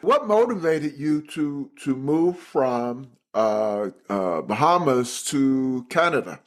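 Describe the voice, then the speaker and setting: A man hosting a podcast, reading a guest introduction and then asking questions with halting restarts. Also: medium pitch, sing-song. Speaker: podcast host.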